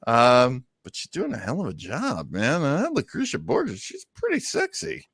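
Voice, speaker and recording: A dry, close-miked man's voice with a bright, forceful edge and middling pitch. He hosts a podcast, offering casual, wisecracking commentary in a conversational way. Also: huge pitch swings, moderate pace, vocal fry, slightly rough, no echo. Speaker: podcast host. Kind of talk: casual chat commentary on a radio play.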